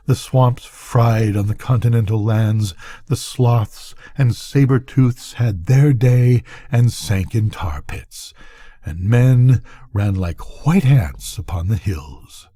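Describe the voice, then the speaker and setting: An older man reading a bedtime story aloud as a storyteller, with deliberate, dramatic phrasing. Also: lowish voice, dark tone, breathy edge.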